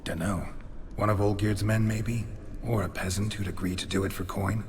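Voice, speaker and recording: A man playing a very deep, terse adventurer. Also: moderate pace, background noise, natural voice. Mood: reluctant. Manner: terse, deadpan, clipped sentences. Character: gruff, weary, dry-witted male adventurer hosting a ghost. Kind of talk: video game voice acting.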